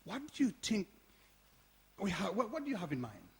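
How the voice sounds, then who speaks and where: Radio play: weary grandfather played by a man, raspy, somewhat high voice, hesitant delivery. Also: huge pitch swings, normal speed, full character voice. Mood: doubtful. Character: Arthur, worried, weary older grandfather, centre owner longing for Jamaica. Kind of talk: live-performed community radio play. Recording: reverberant hall.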